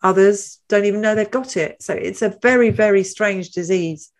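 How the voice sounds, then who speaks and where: A woman's voice: mid-range voice, normal speed. A woman hosting a panel discussion. She talks in a chatty way and often asks leading questions. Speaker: host.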